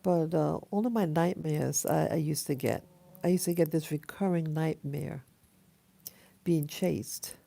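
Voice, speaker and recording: A woman in her late fifties with an American accent, speaking haltingly and reflectively in a casual personal vlog, her voice hoarse from having just woken up. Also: low-pitched, mellow. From Queens, New York City, USA. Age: 50s, almost sixty. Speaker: vlogger. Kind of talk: casual personal dream journal.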